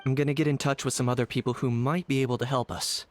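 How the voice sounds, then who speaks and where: Man as a steady, businesslike mid-pitched adventurer. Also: fast talker, a little hiss or hum, natural voice. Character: businesslike, steady male adventurer, dependable and terse.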